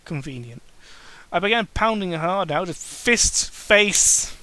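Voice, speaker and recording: Male amateur reader, bright edgy tone, fairly high voice; sardonic, fed up, swears freely between lines. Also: lively intonation, unhurried, slight background noise. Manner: exasperated, sarcastic, swears freely. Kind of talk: mocking dramatic reading with exasperated asides.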